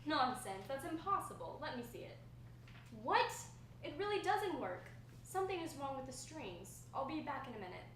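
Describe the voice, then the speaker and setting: A woman's voice: high-pitched, very animated, moderate pace, a bit bright. A woman in a table read playing a fussy, disbelieving violin teacher. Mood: puzzled, disbelieving. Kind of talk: amateur screenplay table read. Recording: echoey room, distant mic, faint noise.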